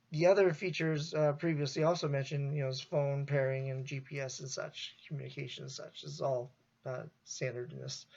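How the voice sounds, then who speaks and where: A man with a slightly high voice and heavy vocal fry talks through an informal product review. His delivery is halting and improvised, and the recording sounds dull and lo-fi.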